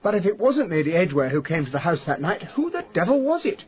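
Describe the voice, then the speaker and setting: Bemused gentleman sidekick, eager, animated pitch, radio drama, dull recording. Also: fast. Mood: puzzled.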